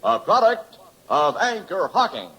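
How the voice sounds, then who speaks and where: A man working as a commercial announcer, giving an emphatic, polished pitch in 1950s radio advertising style. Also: very animated, a little slow, heavy background noise. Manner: emphatic, polished salesman delivery.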